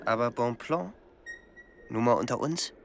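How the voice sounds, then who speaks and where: Inquisitive gentleman traveller, radio drama: mid-pitched, gravelly, pointed, over noisy ambience. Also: measured pace. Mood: confiding, inquisitive. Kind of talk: German radio drama acting. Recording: clear outdoor ambience noise.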